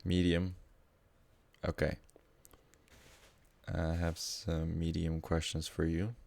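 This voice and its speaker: A man's voice: sits low, completely flat delivery, slow, creaky, darkish tone, no echo. A man tutoring math live in a casual, informal way, thinking aloud as he explains.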